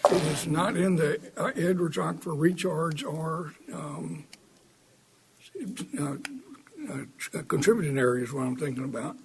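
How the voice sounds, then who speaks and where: A man's voice: a little high, poor audio. A man giving a blunt, plain-spoken public comment at a meeting.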